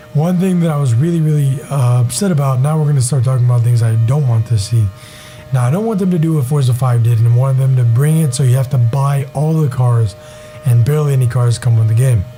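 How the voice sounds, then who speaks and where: A young man hosting a gaming channel, his voice hoarse and raspy, with a very dark, mellow timbre. He rambles with enthusiasm and plenty of fillers. Faint music plays under his casual commentary. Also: medium pitch.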